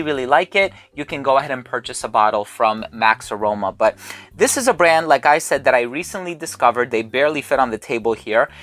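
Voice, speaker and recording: A man's voice: medium pitch, fairly bright tone. A man hosting a fragrance channel, chatting casually and thinking aloud while he smells each bottle. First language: English. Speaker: fragrance reviewer. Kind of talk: casual sponsored haul video.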